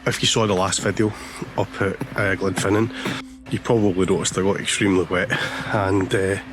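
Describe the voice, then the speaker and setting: A hoarse man, chatting casually as he vlogs outdoors about landscape photography, with many pauses and fillers. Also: deep voice, flat delivery, low-quality recording. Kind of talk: casual vlog narration. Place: outdoors on a lakeshore, walking. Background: background music.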